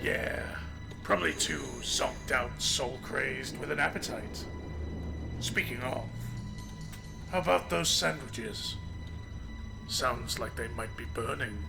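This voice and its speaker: A man's voice: medium pitch, slow, gravelly, long pauses, music in the background. A man playing a laid-back, wry lawman in a noir audio drama, with a drawling, theatrical delivery. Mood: wry, relishing.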